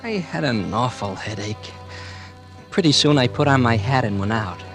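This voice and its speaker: A man's voice: mid-range voice, expressive, normal speed, over music, close to an everyday voice. An actor playing a brooding, resentful young man who narrates his own story in a 1940s radio drama. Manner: quiet, sullen first-person narration. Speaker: narrator and lead.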